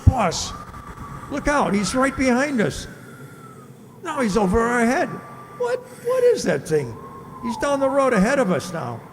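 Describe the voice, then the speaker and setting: A man's voice: extremely high pitch, huge pitch swings, measured pace, long pauses, heavily acted. A man playing a nervous, loyal gangster henchman in a radio drama, with blunt, anxious tough-guy talk. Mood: panicked. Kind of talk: old-time radio drama acting. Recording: hollow reverb with background noise.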